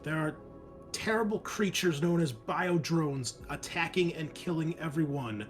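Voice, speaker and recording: A man's voice: on the high side, a little slow, soft background music, pitched above the performer's own voice. A man playing a commanding female starship captain giving a formal, urgent military announcement in a tabletop game.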